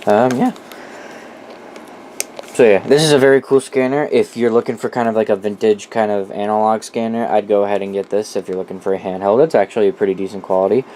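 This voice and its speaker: Male, slightly deep voice, off-the-cuff collector talk, opinionated, profane, light background noise. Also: steady tone, fast talker, warm tone. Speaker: radio collector presenting a collection. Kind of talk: casual unscripted product walkthrough.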